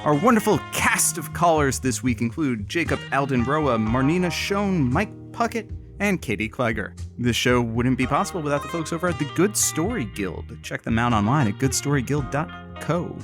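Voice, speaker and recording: A man's voice: medium pitch, expressive, normal speed, over music, natural voice. A man playing a cheeky late-night radio sidekick, reading in a cozy, showy announcer manner. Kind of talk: comic banter and scripted credits narration.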